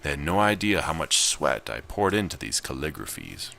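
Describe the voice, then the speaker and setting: A man's voice: extremely low pitch, very animated, normal speed, vocal fry, bright, forceful tone. A man reading a visual novel aloud as he plays it, with casual commentary. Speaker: gamer narrating his gameplay.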